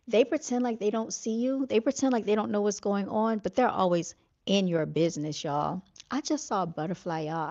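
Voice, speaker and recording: A woman with a Southern drawl chats loosely to her audience in a middle-pitched voice, stopping often to call out "y'all" and fill her pauses with "like" and "um." The sound is a bit dull and lo-fi, as if from a modest home recording. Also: darkish tone. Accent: Southern American. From North Carolina, United States. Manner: chatty, addresses viewers as "y'all", many fillers. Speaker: vlogger reading viewer comments. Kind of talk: casual chat to viewers.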